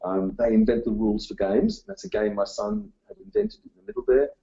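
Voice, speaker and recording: A male teacher whose first language was Dutch, giving a conference talk in a halting way, full of ums and uhs. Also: lowish voice, very animated, warm tone, husky, lo-fi sound. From Australia. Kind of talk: presentation talk. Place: conference room.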